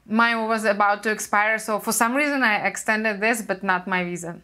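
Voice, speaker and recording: A young woman from Russia with a Russian accent, chatting as a guest in an interview and telling stories with lively, non-native phrasing, recorded with a lapel mic in a small studio room. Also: mid-range voice, fairly quick. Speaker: guest, former cruise ship assistant waiter. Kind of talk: casual interview chat.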